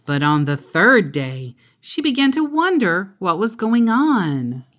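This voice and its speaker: A woman's voice: medium pitch, very animated, slow. A woman reading a picture book aloud to a child, adding simple explanatory asides as she goes.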